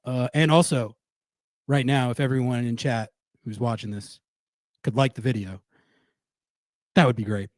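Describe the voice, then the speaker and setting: A man hosts a call-in show with a mid-pitched voice in a relaxed, conversational tone. His voice is close to the mic and dry, with no room sound. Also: moderate pace, pauses often, no room sound. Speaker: host. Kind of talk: casual call-in show hosting.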